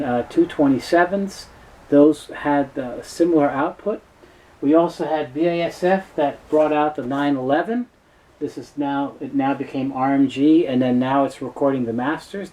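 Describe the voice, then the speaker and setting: A man giving an unscripted informational product explainer, speaking in a halting way and often repeating words. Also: medium pitch, dark tone, rough recording. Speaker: tape dealer and presenter.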